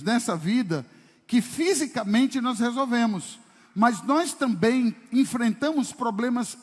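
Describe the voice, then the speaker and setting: A man preaching a sermon as pastor, emphatic and full of rhetorical questions to the congregation. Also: extremely high pitch. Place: church service hall. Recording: long reverb of a church hall.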